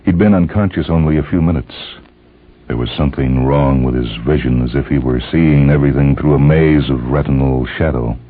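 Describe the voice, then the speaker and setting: A male narrator reads a dramatic scripted story in a rumbling, extremely low, husky voice. The recording is narrow and muffled, with faint music behind. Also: brisk.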